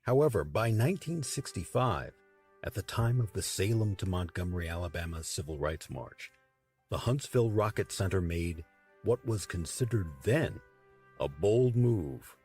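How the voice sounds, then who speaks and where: A man's voice: low voice, animated, normal speed, a little mellow, faint music behind. A man reading scripted narration for a history documentary.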